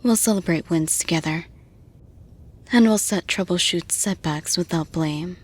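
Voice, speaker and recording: Woman acting a breathy, unhurried supportive lady boss. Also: lowish voice. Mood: calm, reassuring. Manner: measured, reassuring, soft breathy delivery. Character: composed, supportive female boss offering sponsorship to employee. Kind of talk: intimate roleplay audio addressed to the listener.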